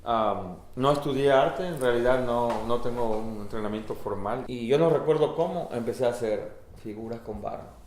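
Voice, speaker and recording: A man's voice: medium pitch, brisk, few pauses, a little mellow, echoey room, a little hiss or hum, rough recording. A man who sculpts clay talking earnestly and nostalgically about his craft.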